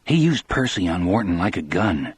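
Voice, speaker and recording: A man's voice: low-pitched, very animated, normal speed, breathy edge, no echo, exaggerated voice. A man voicing a troubled, earnest middle-aged prison guard in an audiobook. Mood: reasoning, intense. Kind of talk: audiobook character dialogue.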